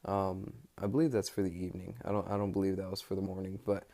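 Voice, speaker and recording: A young American man recording a casual, rambling spoken diary, pausing often mid-thought as he searches for words. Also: deep voice, monotone, dark tone. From United States. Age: young adult. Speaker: study-abroad student keeping an audio log.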